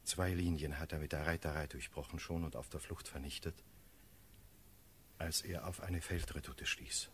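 Very deep, grave officer. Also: male voice, steady tone, a bit bright, slight background noise. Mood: solemn, grave. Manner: solemn, measured declamation. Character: grave cavalry officer reporting a lord's fall in battle. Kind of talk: classical German radio play verse acting.

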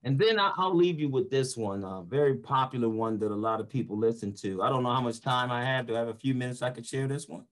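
A man's voice: medium pitch, fast talker, hardly any pauses. An older American man from Philadelphia casually introducing material as a motivational speaker. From Philadelphia, United States. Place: small home office, indoors. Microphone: webcam video call.

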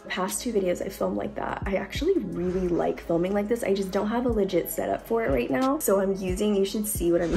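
Young woman beauty vlogger, cold-deepened voice, chatty, phone mic at home, faint music under. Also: gravelly, dark tone. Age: young adult. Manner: chatty, lots of likes and ums. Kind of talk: casual makeup tutorial. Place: bright room at home. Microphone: phone camera at close range.

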